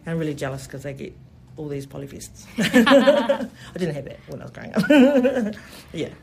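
Guest, festival organiser from New Zealand. New Zealand accent, mid-pitched, eager, chatty with lots of fillers. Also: big pitch swings, normal speed, creaky, a little hiss or hum. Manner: enthusiastic, heavy use of "you know" fillers. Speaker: festival event specialist, interview guest. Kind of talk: radio interview answers.

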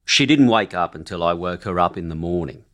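A man's voice: deep voice, expressive, a little fast, a bit bright. An actor playing a firm, indignant male witness, giving blunt denials in a re-enacted courtroom.

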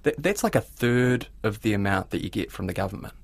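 A man's voice: lowish voice, lively intonation, fairly quick, no room sound. A man with a New Zealand accent, working as a reporter, narrating and interviewing in a conversational news style. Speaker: reporter and presenter.

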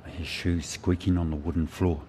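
An actor voices a man with a very low voice, reliving a frightened boyhood in custody. He narrates the radio drama in spare, measured phrases, over faint noise. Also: flat delivery, natural voice. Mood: tense dread.

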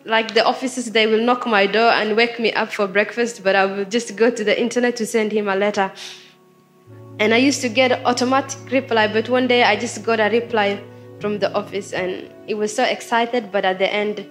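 Young woman, from Somalia, casual storytelling, lots of fillers, soft music underneath. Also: mid-range voice, fairly even intonation, moderate pace. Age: young adult. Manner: casual, many fillers like "like" and "you know". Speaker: interviewee. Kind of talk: personal storytelling interview. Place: studio.

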